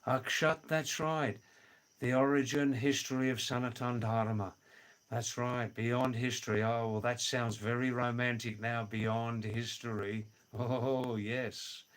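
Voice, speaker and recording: Slow-talking older man chatting on a livestream. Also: mid-range voice, steady tone, a bit bright. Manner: slow, drawn-out, repeats words, chuckles "hoo hoo hoo". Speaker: livestream host reading viewer comments. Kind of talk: casual live chat. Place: home in the Himalayas.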